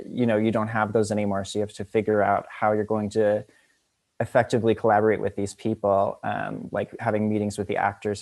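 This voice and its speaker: A young man talks with heavy vocal fry and little movement in pitch. He explains things hesitantly and in a measured way on a video call panel. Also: a little mellow. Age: young adult. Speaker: student costume designer.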